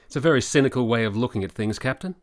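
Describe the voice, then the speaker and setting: An actor playing a genteel, good-humoured doctor in a period radio drama, with a theatrical, musing manner. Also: male voice, medium pitch, rapid-fire, lightly acted.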